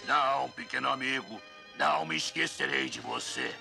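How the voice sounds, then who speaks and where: A man voicing a huge, brooding horned demon in a dubbed cartoon, gravelly and ponderous. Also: medium pitch, over music. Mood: solemn. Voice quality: gravelly, croaking. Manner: slow, ponderous. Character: huge horned winged demon, brooding, slow, ultimately noble. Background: dramatic cartoon score.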